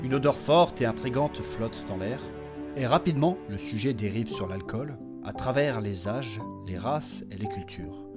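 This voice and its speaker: A man with a husky voice reading a scripted introduction as narrator and host of a fiction podcast. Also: medium pitch, expressive, moderate pace, music in the background.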